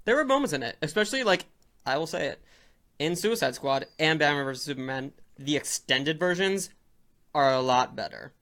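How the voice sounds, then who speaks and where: A man's voice: fairly high pitch, animated, brisk, fairly bright tone. A man co-hosting a casual film discussion, enthusiastic and emphatic about what he likes. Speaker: podcast co-host.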